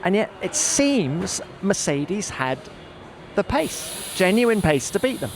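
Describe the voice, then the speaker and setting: A man's voice: sits high, big pitch swings, slow, slight background noise. A man hosting a motorsport podcast in a conversational discussion style.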